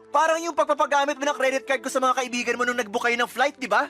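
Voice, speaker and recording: A man's voice: extremely high pitch, fairly even intonation, quick pace, pushed and bright, soft background music. A man acting as a fed-up, resentful boyfriend in a Tagalog radio romance drama, emphatic and accusing. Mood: frustrated, accusing. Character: fed-up, resentful boyfriend breaking up with his girlfriend.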